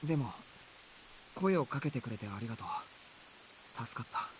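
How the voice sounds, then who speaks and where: A man plays a frail, polite young man from a Japanese visual novel. He speaks softly and quickly at a middle pitch, in a reassuring way. The game audio is quiet, with hiss behind it. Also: expressive, natural voice. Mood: weak, reassuring.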